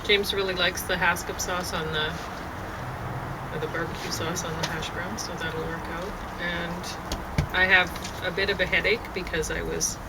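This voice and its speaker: A woman in casual, rambling conversation, halting often as she thinks aloud, recorded outdoors on a deck. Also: lowish voice, level tone. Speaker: vlogger sharing opinions on a show. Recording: outdoor deck, clear background noise, birds.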